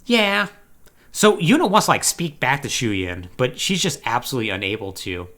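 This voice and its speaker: A man hosting a podcast, casually retelling a video game plot in a slangy, animated, joking way. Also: mid-range voice, moderate pace. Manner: animated, slangy storytelling with mocking acted-out quotes. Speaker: podcast host.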